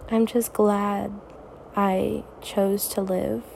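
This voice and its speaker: Raspy, tired teenage girl, soft-spoken. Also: mid-range voice, level tone, drawn-out words, a little hiss or hum. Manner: soft, reassuring, hesitant. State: tired, up late. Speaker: podcast host. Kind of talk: personal podcast monologue.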